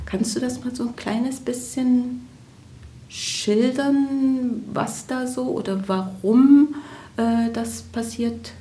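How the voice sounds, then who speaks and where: A woman's voice: fairly high pitch, dark tone, roomy sound. A woman co-hosting a business podcast interview, chatty and friendly with many fillers, still a bit sick with a cold. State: has a cold. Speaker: podcast co-host.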